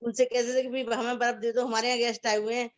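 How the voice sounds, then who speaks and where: A woman fondly recalling the old days in a casual group chat, speaking haltingly with frequent restarts. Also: fairly high pitch, level tone. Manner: nostalgic, halting, restarts often. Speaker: discussion guest. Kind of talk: casual reminiscing. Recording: dry, dull lo-fi call audio.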